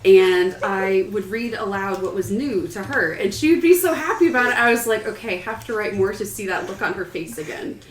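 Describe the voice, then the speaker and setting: A woman's voice: mid-range voice, expressive, a little fast, few pauses. Wry woman in a roomy, noisy recording. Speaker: writer on a podcast panel. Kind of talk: casual chat. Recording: roomy, distant mic with background noise.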